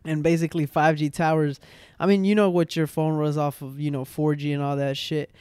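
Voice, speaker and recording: A young man hosting a home podcast on a boom arm mic, chatting casually and rambling with restarts and slang. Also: flat delivery, brisk, a little mellow, clean tone, no room sound, studio quality. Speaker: podcast host. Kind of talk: casual chat.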